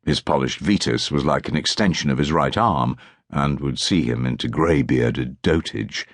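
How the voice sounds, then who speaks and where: A man's voice: very deep voice, moderate pace, dry recording, studio quality. A man reading historical fiction as a scripted audiobook narration.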